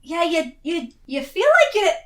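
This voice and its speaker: A woman's voice: very high-pitched, very animated, a little slow. A giggly woman improvising as a player in a tabletop roleplaying game, often breaking into laughter. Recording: roomy, distant mic.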